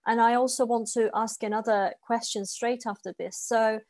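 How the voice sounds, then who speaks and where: A woman's voice: fairly high pitch, steady tone, moderate pace, a little mellow, dry recording. A woman in her thirties hosting a video call from her home, asking long questions with many fillers. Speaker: interview host. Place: home room with light walls and plants.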